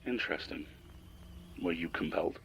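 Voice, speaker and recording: A man acting a probing, calmly menacing institute superior in an audio drama, questioning in a measured, deliberate way. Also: deep voice, gravelly, slight background noise, exaggerated voice, low-quality recording. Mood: cool, probing.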